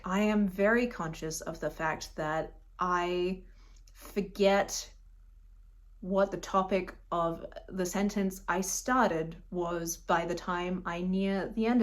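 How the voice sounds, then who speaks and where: A woman in her thirties speaks with an Australian accent that sounds a little unusual, her voice sitting on the low side. She rambles in a casual, self-deprecating way, tossing in quick asides as she talks to the camera. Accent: Australian, a bit unusual. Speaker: booktube vlogger. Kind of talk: casual direct-to-camera vlog.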